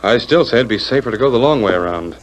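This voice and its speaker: An actor playing a cautious railroad engineer in a 1940s radio western, deferential but stubborn. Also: male voice, mid-range voice, animated, fast talker, lightly acted. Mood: doubtful, insistent. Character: cautious, doubtful railroad engineer, respectful to his superior. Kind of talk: 1940s radio drama acting. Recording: faintly noisy 1940s radio recording.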